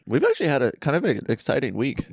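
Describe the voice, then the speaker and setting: A man's voice: medium pitch, fast, no echo. A young man co-hosting a podcast from a friend's basement, in rambling casual chat. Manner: rambling, animated storyteller. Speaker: podcast co-host.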